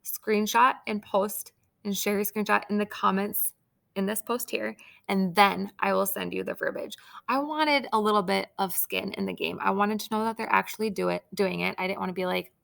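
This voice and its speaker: Brief: female team leader, chatty and upbeat, strong gravelly fry, slightly scratchy throat. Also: mid-range voice, steady tone, normal speed, fairly bright tone. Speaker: team leader of sales consultants. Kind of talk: casual training talk.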